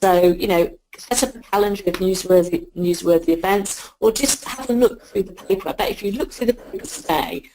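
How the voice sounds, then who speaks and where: A woman with a croaky voice, giving a quick, chatty webinar talk on PR. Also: medium pitch, dark tone, slight background noise, rough recording. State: croaky, sore throat. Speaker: PR guest speaker. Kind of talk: webinar presentation.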